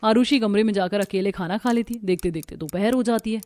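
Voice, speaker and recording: A woman's voice: mid-range voice, fast, warm tone. A woman narrating a scripted cartoon family story.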